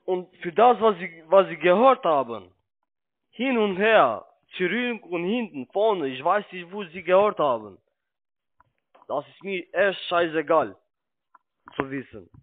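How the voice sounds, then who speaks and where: High-voiced salesman on a tinny phone. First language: not German. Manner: halting, insistent, broken grammar. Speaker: call centre sales agent. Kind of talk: pushy unscripted phone sales pitch. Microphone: telephone. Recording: tinny narrowband phone line.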